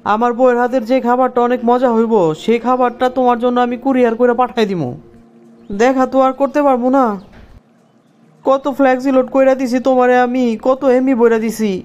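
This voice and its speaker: An unusually high-pitched, jilted cartoon boyfriend acted by a man with a Bangladeshi accent. He rattles along quickly, plaintive and pleading, with petty grievances. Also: level tone, a little mellow, clear, resonant tone, dull audio. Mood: aggrieved. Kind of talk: cartoon comedy dialogue.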